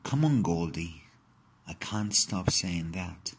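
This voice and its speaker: A man narrating a children's story aloud in an expressive, dramatic way. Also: low voice, slow pace, noisy background.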